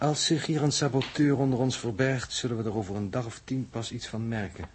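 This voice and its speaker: An actor playing a coolly fatalistic, learned mathematician aboard a spaceship, lecturing in formal, pedantic phrases in a 1960s Dutch radio drama. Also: male voice, medium pitch, fairly even intonation, a little fast, a little hiss or hum, lightly acted. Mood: grave, resigned.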